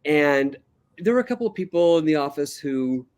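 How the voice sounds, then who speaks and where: Calm older man telling his story. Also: on the high side, normal speed, clear, resonant tone. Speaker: interview guest. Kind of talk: casual storytelling.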